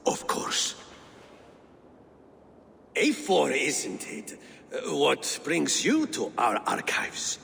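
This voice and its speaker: Creaky-voiced, courteous, worried lord. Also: male voice, wildly swooping pitch, extremely slow, pauses often, fairly bright tone, exaggerated voice. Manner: hesitant, formal. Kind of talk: dramatic video game acting. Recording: reverberant hall, faint rumbling noise.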